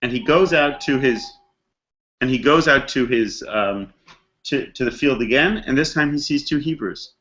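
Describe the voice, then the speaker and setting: A man's voice: medium pitch, normal speed, a bit bright, lo-fi sound, a little hiss or hum. A man giving a conversational scripture lesson as a rabbi, telling the story reflectively and posing rhetorical questions. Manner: dramatizes characters' thoughts in casual modern slang. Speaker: rabbi teaching a text study class.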